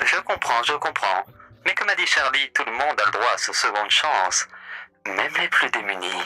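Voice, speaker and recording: Man doing a suave radio demon, sharp bright tone, lively swooping pitch, courteous, mocking. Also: moderate pace, dry recording, faint music behind, rough recording. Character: suave theatrical male radio demon, sly and amused. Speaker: roleplayer. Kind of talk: voice-chat roleplay.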